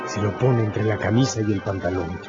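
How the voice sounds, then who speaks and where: A man delivering dramatic scripted narration for a radio novel, solemn and emphatic, with deliberate phrasing. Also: fairly low pitch. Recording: dull lo-fi radio production, loud music.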